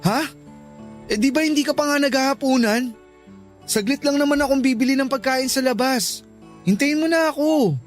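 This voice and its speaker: A man's voice: extremely high pitch, normal speed, long pauses, soft background music. A man playing a hurt, suspicious boyfriend in a Filipino radio drama, insistent and earnest, with a Filipino accent. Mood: apologetic, placating.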